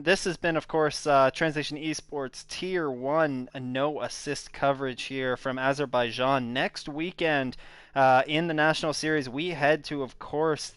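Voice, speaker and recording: A man's voice: fairly high pitch, a bit bright, clean tone, no room sound. A man commentating an online racing broadcast, wrapping up the show with lots of ums and uhs. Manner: hesitant, filler-heavy, friendly sign-off delivery. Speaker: esports race commentator. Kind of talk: broadcast race commentary wrap-up.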